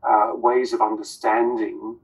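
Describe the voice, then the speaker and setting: A man's voice: lowish voice, little pitch movement, measured pace, creaky, distant mic, poor audio. A man, an author on a panel, answering hesitantly with many ums and restarts.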